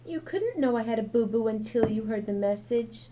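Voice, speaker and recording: A woman's voice: fairly high pitch, lively intonation, moderate pace, dark tone, slight background noise, voice pushed up, close to an everyday voice, poor audio. A woman doing a sweet, earnest little girl. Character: small, wise, gentle little girl.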